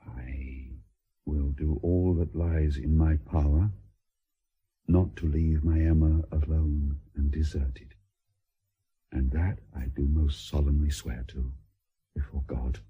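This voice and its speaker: A solemn naval commander deeply in love, in a radio drama. The voice is low and heavily rasped, with measured, courtly phrasing and a tender devotion. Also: male voice, steady tone, highs cut off, full character voice. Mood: solemn, loving.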